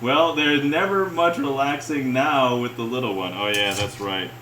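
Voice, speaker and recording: A man hosting a cooking livestream, rambling and chuckling often as he greets viewers, with a relaxed-sounding voice. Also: mid-range voice, normal speed, noisy background. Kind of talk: casual livestream chat while cooking. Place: home kitchen. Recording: echoey room with loud cookware clatter. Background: clinking cutlery, pots and pans.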